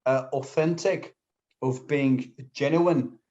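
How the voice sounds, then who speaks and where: A man's voice: medium pitch, fairly even intonation, slow, dark tone. A young man from Syria whose first language is Kurdish, speaking English in a casual interview as a guest, with many fillers and restarts. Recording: slightly dull lo-fi audio.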